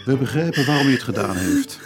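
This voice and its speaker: A man with a Dutch accent playing a dutiful, sympathetic police inspector in a radio drama, measured and formal in his questioning. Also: medium pitch, expressive, over music, close to an everyday voice. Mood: grave, formal. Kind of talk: naturalistic radio drama acting.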